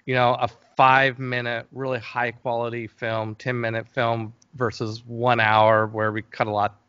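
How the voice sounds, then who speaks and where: A middle-aged man answers questions in a casual, conversational way, often backing up to rephrase. His voice sits at a medium pitch. The recording is dull and lo-fi, with hardly any room sound. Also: flat delivery, measured pace, pushed and bright, smooth, no echo. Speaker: interview guest, fantasy film producer.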